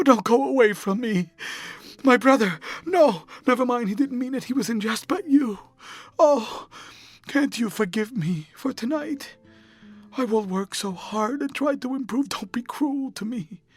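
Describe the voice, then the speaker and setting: A man's voice: extremely high pitch, voice pushed up. A man voicing a desperate, lovestruck young actress, imploring and melodramatic in a dramatic audiobook reading. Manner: imploring, breathless outpouring, melodramatic.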